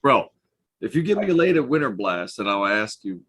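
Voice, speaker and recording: Casting: man, thirties, lowish voice, blunt and profane, loose late-night panel banter. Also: big pitch swings, moderate pace, crowd noise. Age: 30s. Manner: profane, blunt slangy talk. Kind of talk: casual chat, bantering.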